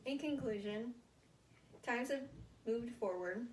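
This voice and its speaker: A young American woman giving a prepared informative speech as a student, speaking haltingly in short phrases, recorded at a distance in a room. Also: on the high side, darkish tone. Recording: roomy, distant mic, faint noise.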